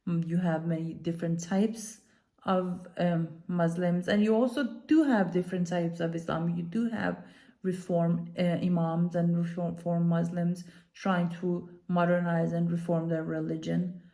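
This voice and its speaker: Mellow-voiced woman from Iran, earnest and halting. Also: lowish voice, level tone, gravelly. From Iran, moved to Denmark as a child. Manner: earnest, halting, deliberate emphasis. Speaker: commentator, activist speaker. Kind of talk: talking to camera, unscripted opinion commentary.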